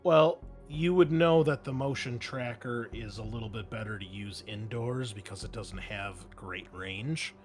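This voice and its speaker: A man running a tabletop roleplaying game as game master, explaining rules and scenes with thinking pauses. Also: lowish voice. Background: music.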